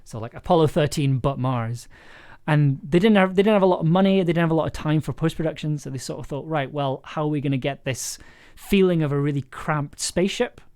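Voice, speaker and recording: Higher-voiced man, halting livestream chat. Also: a little mellow, clear, resonant tone. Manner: halting, rambling, with repeated words and trailing thoughts. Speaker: audio drama maker livestreaming an editing session. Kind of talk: casual livestream chat while working.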